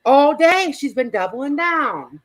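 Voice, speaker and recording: A woman's voice: high voice, sing-song, slow. A woman hosting a live stream with casual reaction commentary, rambling and emphatic, often repeating herself.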